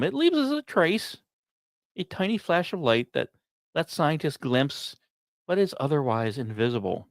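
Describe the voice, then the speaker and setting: A man hosting a science podcast, reading scripted narration with casual asides and musing, dramatic pauses between short phrases. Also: mid-range voice, dry recording. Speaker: podcast host.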